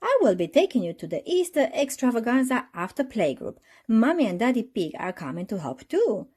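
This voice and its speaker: A woman's voice: a little high, very animated, fairly quick, a little mellow, husky, voice pushed up. A woman voicing a kindly gazelle playgroup teacher in a storybook, encouraging and reassuring.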